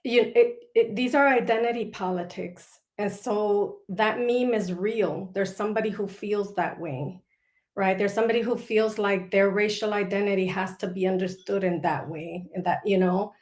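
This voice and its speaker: A woman host with a mid-pitched voice who speaks thoughtfully and sometimes pauses to find her words. Her voice carries a roomy echo. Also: steady tone, a little mellow. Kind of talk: discussion.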